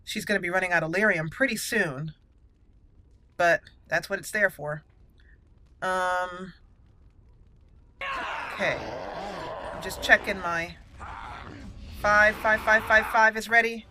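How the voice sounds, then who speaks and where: A woman's voice: mid-range voice, slow, long pauses, noisy background. A woman hosting a gaming channel, giving casual play-by-play and thinking aloud as she narrates each move. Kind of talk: casual gameplay commentary. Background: game music.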